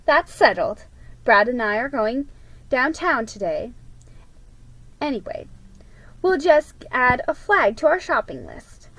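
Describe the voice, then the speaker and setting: A woman voicing a kindly, conciliatory mother in a storybook, with a gentle, cheerful manner. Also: high voice, very animated, slow, long pauses, voice pushed up, full character voice, rough recording.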